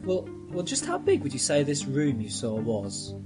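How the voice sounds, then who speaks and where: Performer as an animated, flustered sceptic. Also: mid-range voice, normal speed. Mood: sceptical. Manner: hesitant, expressive, exasperated. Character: flustered, sceptical local helper at a church. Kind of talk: sci-fi radio drama acting. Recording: music under the voice.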